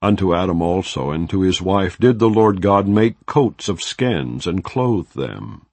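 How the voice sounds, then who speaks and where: A man giving a measured, solemn reading of scripture in an unhurried narrating style. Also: deep voice, expressive, a little mellow, no echo. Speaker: Bible reader.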